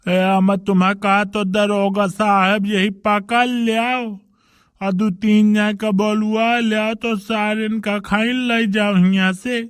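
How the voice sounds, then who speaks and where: Man playing a slow, high-voiced pompous headman. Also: level tone, smooth, no echo, clean studio sound. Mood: bossy. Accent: Bundelkhandi. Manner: pompous, bossy. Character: pompous older male village headman, corrupt and threatening. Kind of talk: rustic cartoon comedy dialogue.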